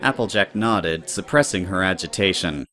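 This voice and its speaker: A woman's voice: rumbling low, animated, normal speed, a bit bright, a faint crowd. A woman narrator reading scripted story prose for an audio drama.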